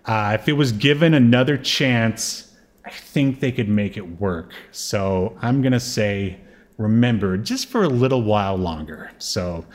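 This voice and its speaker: A man's voice: fairly low pitch, expressive, measured pace. Casual, rambling male podcast co-host. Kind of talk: casual unscripted chat.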